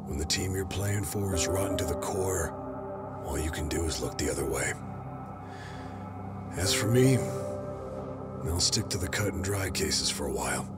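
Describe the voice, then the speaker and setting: An actor playing a jaded middle-aged police detective in a video game dub, blunt, sardonic and weary. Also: male voice, low-pitched, normal speed, pauses often, loud music behind the voice, close to an everyday voice. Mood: grim, resigned.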